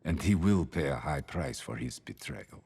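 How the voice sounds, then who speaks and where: An actor playing a menacing, silky Soviet security chief in a video game, slow, deliberate and mock-courteous. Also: male voice, extremely low pitch, animated, darkish tone, husky, heavily acted. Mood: coldly assured. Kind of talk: dramatic video game cutscene acting.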